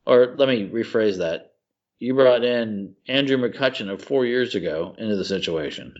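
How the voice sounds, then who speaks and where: A man co-hosting a podcast in casual chat, gushing and excitable, calling his friend "dude.". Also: mid-range voice, moderate pace, lo-fi sound. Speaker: podcast co-host.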